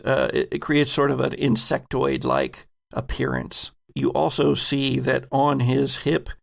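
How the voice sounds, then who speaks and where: Male game master: heavily gravelly, unhurried, deliberate storyteller. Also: mid-range voice. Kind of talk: narrating a tabletop game.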